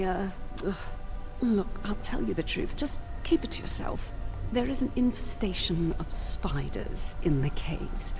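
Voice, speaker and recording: An actress playing an officious, easily flustered senior mage in a fantasy video game, formal yet hesitant and expressive. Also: female voice, medium pitch. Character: flustered, officious female senior mage guarding her secret.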